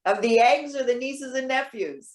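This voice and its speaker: A woman's voice: high voice, normal speed, natural voice. A woman playing a proud, witty elderly retired social worker in readers theater, articulate, wry and pointed. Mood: wry, chatty. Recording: roomy echo.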